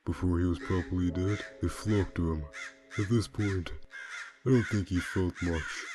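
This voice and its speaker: A man's voice: low voice, steady tone, moderate pace, natural voice. A man playing a laid-back, wry, pun-loving skeleton reaper in a comic dub, with a dry, drawling delivery. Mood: wry, teasing. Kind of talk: fan comic dub voice acting.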